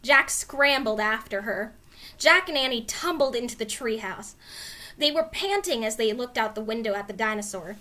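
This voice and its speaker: A woman reading a children's story aloud in an animated, expressive narrating style. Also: on the high side, moderate pace. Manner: expressive, clearly dramatized reading. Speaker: storybook reader.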